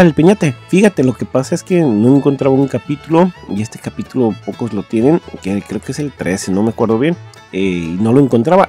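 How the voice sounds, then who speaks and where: A man's voice: medium pitch, mellow. A man hosting a podcast, casually reading and answering listener messages. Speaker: podcast host.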